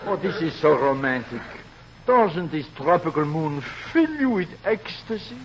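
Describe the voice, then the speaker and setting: A melodramatic suave lover in an old radio comedy, wooing in a fairly high, gushing voice. The recording is muffled with faint hiss. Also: male voice, lively intonation, a little slow. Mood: amorous. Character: amorous suave French-style lover, melodramatic romantic. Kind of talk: 1940s radio comedy acting.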